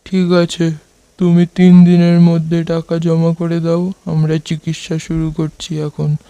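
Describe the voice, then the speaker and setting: Cartoon doctor or grandfather type, man acting: monotone, matter-of-fact, soft dark timbre, dry sound. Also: fairly high pitch, unhurried, clean tone, no room sound. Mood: calm, grave. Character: calm adult male doctor and kindly grandfather.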